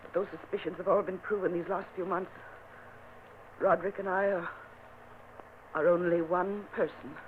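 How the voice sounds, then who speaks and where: A woman's voice: on the low side, close to an everyday voice. An actress playing a frail, feverish young noblewoman in dread, in vintage radio drama style. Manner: breathy, pleading, intense. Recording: tinny, hissy old radio recording.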